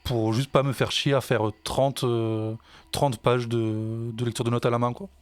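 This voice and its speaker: A man's voice: mid-range voice, little pitch movement, normal speed, dry recording. French man talking casually, dry sound. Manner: hesitant, many fillers and restarts. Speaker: music teacher and songwriter. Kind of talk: casual podcast discussion.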